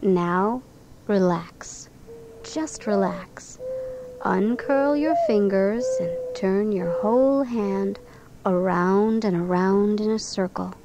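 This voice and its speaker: Female narrator for children's guided relaxation and bedtime storytelling: gentle, very unhurried delivery, audible background noise. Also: medium pitch, lively intonation. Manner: gentle, soothing, unhurried instruction. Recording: clearly noisy recording with background ambience.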